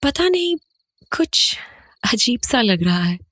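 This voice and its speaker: An uneasy mother played by an actress in a horror audio drama. Her voice is fairly high and very animated, questioning and conversational, recorded close and dry. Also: slow, dry recording, heavily acted. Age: adult. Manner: questioning, conversational, Hindi mixed with English. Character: uneasy mother and wife, returning to her old home.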